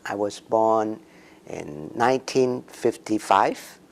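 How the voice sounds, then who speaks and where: Slow-speaking man in his sixties, gravelly. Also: fairly low pitch. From Macau and Hong Kong. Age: 60s. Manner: slow, hesitant, many ums and restarts. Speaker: interviewee. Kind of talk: oral history interview.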